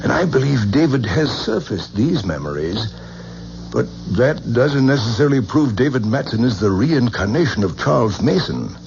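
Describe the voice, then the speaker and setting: An actor playing a patient, formal scholar of the occult who lectures in a radio drama, from an old broadcast. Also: male voice, medium pitch, big pitch swings, normal speed, vocal fry, darkish tone. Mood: lecturing. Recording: dull old radio broadcast with faint hiss.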